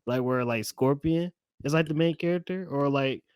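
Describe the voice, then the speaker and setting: A man's voice: on the high side, moderate pace, a little mellow, clean tone, no echo, studio quality. A man co-hosting a podcast, chatting casually and informally with plenty of slang. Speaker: podcast co-host. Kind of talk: casual panel chat about games and films.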